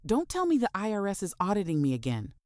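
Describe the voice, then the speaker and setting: A female narrator acts out a smooth married man caught out, pleading and defensive. The delivery is animated in pitch, in the manner of audiobook drama, and the recording is very dry. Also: fast talker, no room sound. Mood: breezy. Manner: stammering, anxious, defensive. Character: charming married man, cornered and pleading over affair.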